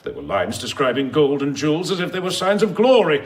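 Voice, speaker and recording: An actor playing an officious, pompous and censorious neighbour in a radio drama, speaking with pedantic indignation. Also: male voice, on the high side, sing-song, a little fast. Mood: offended, stern.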